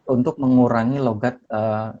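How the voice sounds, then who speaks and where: A man's voice: mid-range voice, steady tone, unhurried, dark tone, lo-fi sound. A young adult man from Semarang, a former radio announcer, chatting as a podcast guest with a lightly mixed Indonesian accent and many fillers. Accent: neutral Indonesian with slight mixed regional traces. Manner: hesitant, many fillers and restarts. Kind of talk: casual interview chat.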